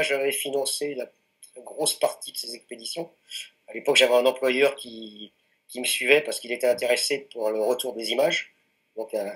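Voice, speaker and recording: Man, late forties, French accent, interview guest, hesitant, fillers and restarts, mid-pitched voice. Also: fairly bright tone, rough recording. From France, Anjou region. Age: 40s. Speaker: mountaineer guest. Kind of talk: casual interview answers.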